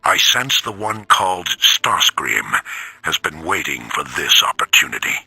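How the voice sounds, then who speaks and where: A noble robot leader from a video game. The voice is gravelly and rough, forceful, with solemn weight and wide swings in pitch. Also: male voice, big pitch swings, measured pace, no room sound, full character voice. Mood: grave, brooding. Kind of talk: dramatic video game audio log.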